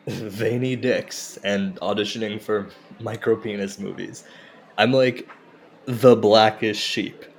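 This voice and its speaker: An actor playing an insecure, self-deprecating comedian in a naturalistic audio drama, halting, confessional and profane. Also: male voice, fairly low pitch. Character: insecure, self-deprecating Indian-American stand-up comedian, torn by shame.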